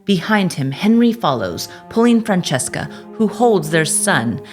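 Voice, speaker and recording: Casting: female narrator, lowish voice, theatrical scripted storytelling, music underneath. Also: big pitch swings, measured pace. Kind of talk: scripted dramatic audio drama narration.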